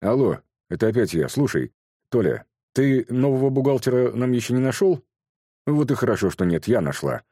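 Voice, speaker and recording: A man voicing a stern, brisk businesswoman who speaks calmly and curtly. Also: deep voice, no echo, studio quality. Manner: calm, curt, matter-of-fact.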